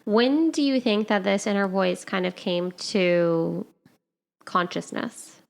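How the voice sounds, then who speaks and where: A young woman co-hosting a casual podcast, chatty and quick to laugh at herself. She speaks at a mid pitch with an easygoing, friendly looseness. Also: creaky. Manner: giggly, chatty, self-deprecating. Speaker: podcast co-host. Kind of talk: casual chat.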